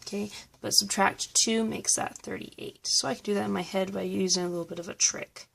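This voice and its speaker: A woman math teacher talking through review problems step by step, slightly hoarse from losing her voice. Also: medium pitch, fairly even intonation, fairly quick, fairly bright tone. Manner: patient, step-by-step explaining. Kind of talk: recorded math review lesson.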